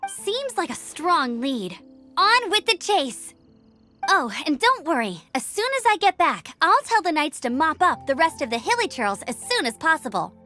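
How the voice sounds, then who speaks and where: A woman voicing a level-headed young adventurer in a video game, calm and matter-of-fact. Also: high voice, moderate pace, full character voice. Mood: steady. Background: game music.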